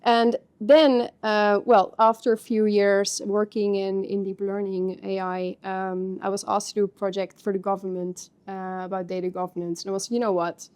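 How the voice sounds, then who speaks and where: A woman's voice: mid-range voice, moderate pace, few pauses. A woman from the Netherlands with a Dutch accent, talking frankly and casually on a podcast about her career, with plenty of fillers. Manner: frank and animated, with many fillers and laughing asides. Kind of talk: casual conversational interview.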